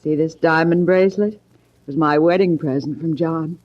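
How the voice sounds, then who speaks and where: An actress playing a scheming, falsely sweet gold-digging wife, gushing and theatrical in a 1940s radio drama style. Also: female voice, low-pitched, normal speed, close to an everyday voice. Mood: romantic, greedy. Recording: muffled, band-limited 1940s radio broadcast.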